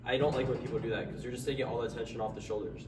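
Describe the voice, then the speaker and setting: A man's voice: medium pitch, fairly even intonation, racing, noisy background. A young man with a stuffy nose giving casual workout tips in a gym, sometimes tripping over his words. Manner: trips over words, strains between sets. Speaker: fitness vlogger. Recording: echoey gym from far off.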